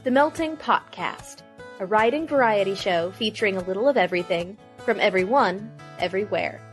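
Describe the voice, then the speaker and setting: Woman as comic promo sidekick, incredulous, animated, middle pitch, music bed. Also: fairly quick, close to an everyday voice. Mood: upbeat announcing. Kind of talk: comic podcast promo skit and announcement.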